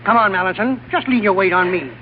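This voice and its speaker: A man's voice: high voice, fast, lightly acted. An actor playing a hearty, easygoing male companion in an old radio drama, plain-spoken and encouraging. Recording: very noisy, dull vintage radio broadcast.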